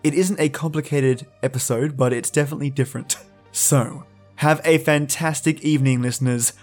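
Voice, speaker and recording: A man's voice: a little high, fairly even intonation, normal speed, darkish tone. Male podcast host over music. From Australia. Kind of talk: friendly scripted podcast introduction.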